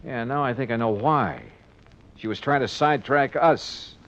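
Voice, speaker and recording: A man's voice: medium pitch, moderate pace, a bit bright, natural voice. Hard-boiled radio detective, acted by a man, muffled vintage sound. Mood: wry, defiant. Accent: American. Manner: clipped, sardonic, tough-talking. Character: hard-boiled male insurance investigator, wry and insistent. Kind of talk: 1950s radio detective drama acting and narration. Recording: dull vintage radio recording, music behind.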